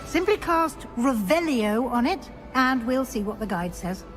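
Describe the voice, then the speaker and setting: An actress playing a brisk, exacting witch professor in a video game, with a British accent and a crisp, formal schoolmistress manner. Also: fairly high pitch, animated, music in the background. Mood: brisk, instructive. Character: brisk, exacting female witch professor guiding a new student. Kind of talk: video game character dialogue.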